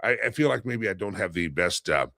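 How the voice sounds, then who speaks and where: A man's voice: sits low, lively intonation, fairly quick, bright, forceful tone, no room sound, clean studio sound. A man hosting a live talk show, rambling with lots of fillers and a slightly nasal head cold.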